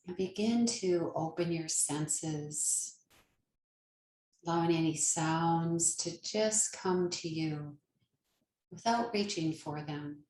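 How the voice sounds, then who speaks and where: A woman leading a guided meditation as a yoga nidra teacher, speaking gently and soothingly with long pauses. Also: fairly low pitch, roomy sound, poor audio.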